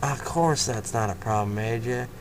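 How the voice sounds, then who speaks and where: A man voicing an easygoing young male farm pony, reading his lines slowly and relaxed. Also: mid-range voice, noisy background, natural voice.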